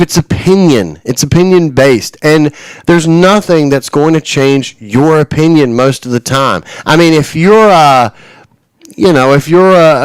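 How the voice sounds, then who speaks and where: Rambling man in his forties, smooth voice. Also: fairly high pitch, dry recording, rough recording. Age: 40s. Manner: rambling, many restarts, chuckles often. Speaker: radio show host. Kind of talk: casual radio chat. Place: radio studio.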